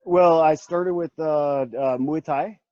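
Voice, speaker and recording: A man in his late thirties with a slightly high voice, hosting a loose group conversation. He rambles and restarts his sentences, laughing easily. The sound is dry and dull, like a lo-fi home setup. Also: slow, mellow, no room sound. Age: 30s. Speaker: podcast host. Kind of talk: casual group chat.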